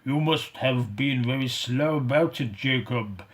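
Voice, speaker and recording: A man's voice: mid-range voice, a little slow, natural voice. A man voicing a frightened, stubborn miser who trembles and pleads with a ghost. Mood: cautious.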